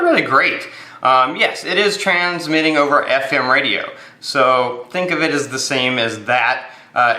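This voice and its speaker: A man's voice: mid-range voice, sharp tone, echoey room. An American man giving a casual, unscripted product review, explaining things with hesitant phrasing and repeated words.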